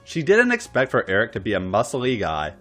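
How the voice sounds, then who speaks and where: A man reads a romance story in a low, theatrical voice. His pitch rises and falls dramatically, and music plays underneath. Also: huge pitch swings, fairly quick, fairly bright tone. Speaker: podcast story reader. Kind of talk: dramatic narration of erotic fan fiction.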